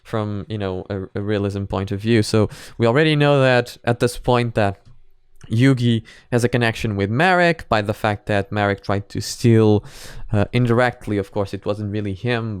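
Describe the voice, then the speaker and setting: Guy doing off the cuff reaction talk, kinda rambly n unsure, smooth voice, really dry close sound. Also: lowish voice, no room sound. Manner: hesitant, rambling thinking aloud. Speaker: host of anime reaction videos. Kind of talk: casual off-the-cuff commentary.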